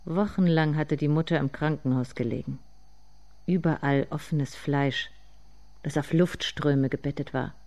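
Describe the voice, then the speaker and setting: An actor performing a literary radio drama monologue as a haunted inner narrator, speaking in deliberate, intense fragments. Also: medium pitch, steady tone, a little mellow, lightly acted. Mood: intense, bitter.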